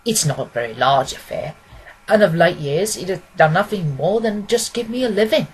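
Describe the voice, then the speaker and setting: A woman's voice: lowish voice, animated, normal speed, a little hiss or hum, natural voice, rough recording. A woman voicing a plain-spoken, earnest male pawnbroker who rambles a little, in a storybook reading.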